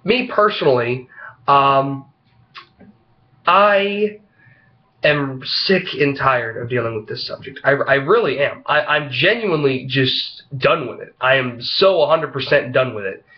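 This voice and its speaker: A man with a head cold and a slightly froggy voice, vlogging casually to camera in a halting, rambling way full of fillers. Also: mid-range voice, expressive, dull audio. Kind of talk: casual opinion vlog talking to camera.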